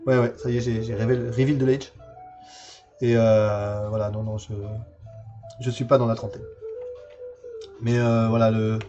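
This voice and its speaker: A man's voice: medium pitch, flat delivery, normal speed, pauses often, dark tone, muffled recording, soft background music. A man in his forties chatting casually on a livestream while showing games from his collection, hesitant and full of fillers and repeated words. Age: 40s. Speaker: streamer presenting a game collection.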